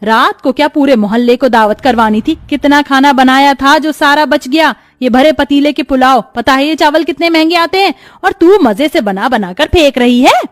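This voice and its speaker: A woman's voice: high-pitched, normal speed, hardly any pauses, dry recording, studio quality, close to an everyday voice. A woman voicing a cruel, hot-tempered middle-aged stepmother in a melodramatic storybook tale, harshly scolding. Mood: furious, scolding.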